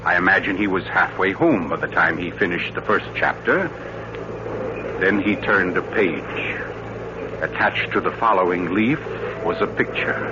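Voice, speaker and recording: An actor playing a suave, theatrical mystery writer who tells a sinister tale in an urbane, deliberate, dramatic 1940s radio style. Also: male voice, deep voice, noisy background. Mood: ominous, suspenseful. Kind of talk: 1940s radio thriller acting. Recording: muffled old radio recording with music.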